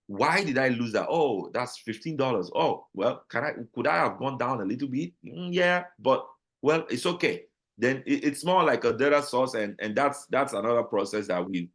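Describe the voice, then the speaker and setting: A man with a Nigerian accent, a guest talking casually in an interview, animated and full of restarts and repeated words. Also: medium pitch. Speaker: guest, business owner.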